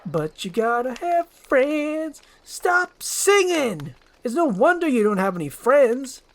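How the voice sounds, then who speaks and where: A woman voicing a grumpy, solitary male ogre with a gruff, curt and sarcastic manner. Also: high-pitched, very animated, takes it slowly, pitched above the performer's own voice, heavily acted. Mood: exasperated. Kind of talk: amateur screenplay voice acting.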